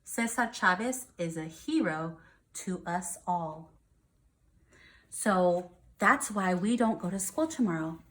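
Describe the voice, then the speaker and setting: A woman's voice: lowish voice, expressive, slow pace, a bit bright. American woman teacher reading to young children. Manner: simple child-directed explaining, checks in with questions. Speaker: first grade teacher. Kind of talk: read-aloud with explanations to young children.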